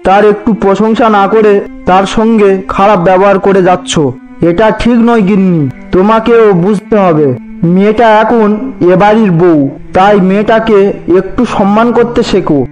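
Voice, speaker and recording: A man voicing a kindly older father-in-law in a fairy-tale cartoon, affectionate and coaxing, gently reproving. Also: high voice, fairly even intonation, normal speed, faint music behind, lightly acted, low-quality recording.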